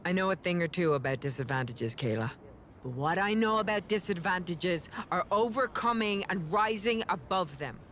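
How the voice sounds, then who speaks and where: A woman's voice: lowish voice, expressive, normal speed, a bit bright, a little hiss or hum. A woman performing a confident, boastful wrestling champion giving an interview promo in a video game. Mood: defiant.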